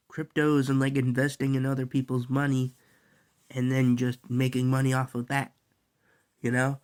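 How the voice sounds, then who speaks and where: A young man who is sick and coughs now and then, talking in a loose, rambling, slangy vlog monologue full of fillers. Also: mid-range voice. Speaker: vlogger.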